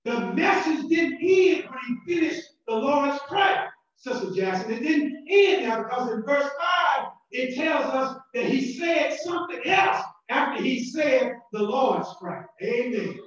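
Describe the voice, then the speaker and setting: Southern pastor preaching slow and loud, high voice, far off mic in a big echoey church, kinda muffled. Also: male voice, expressive, soft background music. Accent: Southern American. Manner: preaching with rising shouted emphasis and repetitions. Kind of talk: sermon. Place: church sanctuary.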